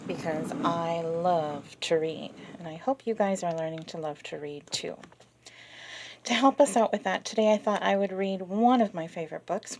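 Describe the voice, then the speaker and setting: Slow, hushed woman reading a picture book aloud. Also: on the low side. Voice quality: soft, hushed whispery delivery on refrains. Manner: slow, expressive, talks directly to children. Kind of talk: children's read-aloud.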